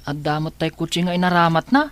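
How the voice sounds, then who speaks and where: A man's voice: on the high side, animated, quick pace, a bit bright, no room sound. A man with an Ilocano Filipino accent playing an inquisitive, troubled young son in a radio drama, hesitant and earnest. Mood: curious.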